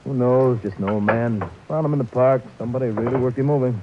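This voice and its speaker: An actor playing a gruff, matter-of-fact police sergeant in a vintage radio drama. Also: male voice, medium pitch, quick pace, lightly acted. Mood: offhand. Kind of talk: 1940s radio acting. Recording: noisy lo-fi old radio broadcast.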